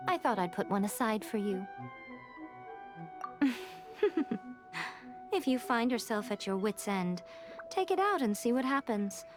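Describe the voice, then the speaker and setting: A woman voicing a sly, elegant fox shrine priestess in an anime game, speaking in a silky, coy, measured and teasing manner. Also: mid-range voice, very animated, natural voice. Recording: music behind the voice.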